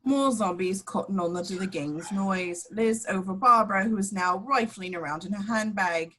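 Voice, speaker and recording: A woman narrates screenplay action in a medium-pitched voice. It is scripted narration for an amateur table read. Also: moderate pace, sharp tone, low-quality recording. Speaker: reader of stage directions.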